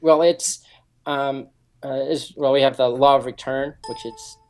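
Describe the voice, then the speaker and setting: A man's voice: medium pitch, steady tone, measured pace, darkish tone, low-quality recording. A man in his forties, a security consultant, earnestly explaining his background in a radio interview with frequent ums. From United States. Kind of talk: interview answers.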